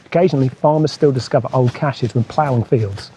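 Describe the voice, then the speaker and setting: Guy hosting a motorbike travel vlog, mellow voice, telling old local legends, bit of background noise. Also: medium pitch, fairly quick, rough recording. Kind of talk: storytelling narration of local history and legends.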